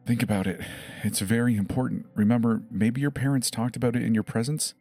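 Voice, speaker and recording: A man's voice: mid-range voice, fairly even intonation, a little fast, warm tone, soft background music, close to an everyday voice. A man playing an emergency dispatcher in a dramatized horror story, reassuring and methodical, probing with short questions. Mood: insistent, probing. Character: calm, insistent adult emergency dispatcher questioning a child.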